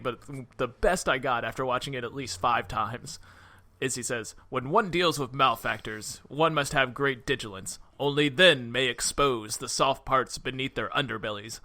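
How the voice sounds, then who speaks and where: A man's voice: medium pitch, sharp tone. A man co-hosting a casual movie podcast, laughing a lot and acting out lines from the film. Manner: laughs often, acts out film lines in quick voices. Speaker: podcast co-host. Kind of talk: casual movie review chat.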